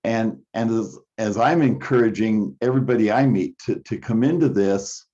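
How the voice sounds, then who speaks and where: A man chatting casually in an online meeting, offering his thoughts in a tentative, halting way. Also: on the low side, dark tone. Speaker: meeting participant. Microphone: online conference call. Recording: slightly dull conference-call audio.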